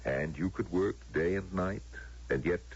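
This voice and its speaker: An actor playing a solemn, knowing man who grants wishes, gravelly and persuasive in a radio drama style. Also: deep voice, heavily acted. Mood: grave, cautioning. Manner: measured, grave, persuasive, theatrical. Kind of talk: American radio drama acting. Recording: muffled, lo-fi old radio broadcast.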